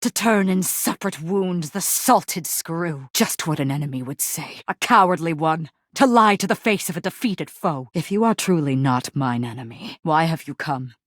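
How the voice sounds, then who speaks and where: A woman's voice: sits low, lively intonation, normal speed, few pauses, dry recording, studio quality. A woman voicing a proud, tragic warrior general in a video game, declaiming in archaic, mock-Shakespearean speech with haughty anguish. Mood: scornful, suspicious. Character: grandiose, tragically proud warrior general, a laundry detergent box.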